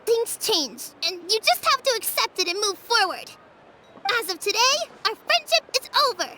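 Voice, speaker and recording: A woman's voice: very high voice, very animated, normal speed, heavy background noise. A woman voicing a blunt, stubborn young child in a video game, easily upset.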